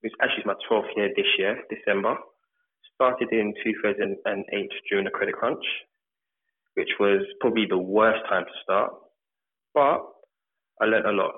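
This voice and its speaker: A man from England with a London accent, a mortgage advisor answering interview questions in a relaxed way, chaining thoughts with lots of fillers. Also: lowish voice, moderate pace, long pauses. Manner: relaxed, talks in long run-on chains with fillers. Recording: tinny phone-like line.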